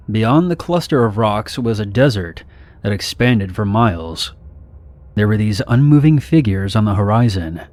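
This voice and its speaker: A man reading first-person horror stories in a steady, measured, somber narration. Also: lowish voice.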